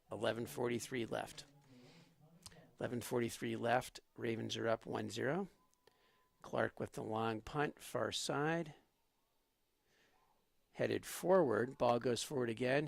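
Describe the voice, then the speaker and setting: A man calling a live school soccer match play by play, steady and running. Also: medium pitch, creaky, no echo. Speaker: play-by-play commentator.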